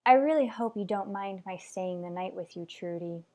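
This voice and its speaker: A woman acts an unhappy young married woman in a radio drama. Her voice sits at a middle pitch, and she speaks with a worried, defensive edge toward her family. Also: normal speed, a little mellow, natural voice. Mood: hurt, defensive. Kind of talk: radio soap drama acting.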